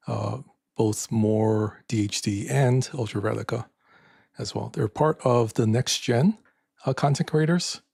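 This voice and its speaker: An older man with a raspy voice hosting a live stream in casual chat, soft-spoken and halting with many fillers. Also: lowish voice, dry recording, studio quality.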